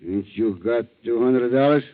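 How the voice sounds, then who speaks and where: A man's voice: mid-range voice, natural voice. Man playing a sly fisherman, tinny old radio. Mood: slyly menacing. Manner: broken English, insinuating, drawn-out threats. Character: sly, wheedling fisherman blackmailer, falsely friendly. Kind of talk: 1940s radio acting. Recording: tinny, narrow-band 1940s radio recording.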